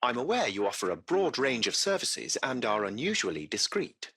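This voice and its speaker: Game casting: a polite AI chauffeur, formal and precise, very animated pitch, bright forceful edge. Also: male voice, moderate pace, gravelly, breathy edge, full character voice. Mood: formal, explanatory. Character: courteous AI taxi-service machine, formal and butler-like. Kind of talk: scripted video game voice acting.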